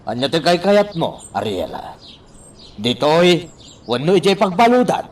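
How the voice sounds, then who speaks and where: High-voiced, grumbling companion. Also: male voice, sing-song, unhurried. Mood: grumbling, annoyed. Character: grumbling, irritated male companion. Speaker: voice actor in a radio drama. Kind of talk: Ilocano radio drama acting. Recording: distant microphone with faint background noise.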